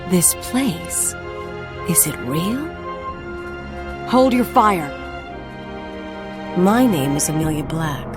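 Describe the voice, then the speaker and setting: A gutsy young railroad boss lady for a game, blunt n wry, pitch moves around a lot, music under it. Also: animated, drawn-out words, long pauses. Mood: determined, urgent. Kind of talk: dramatic video game acting. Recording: music behind the voice.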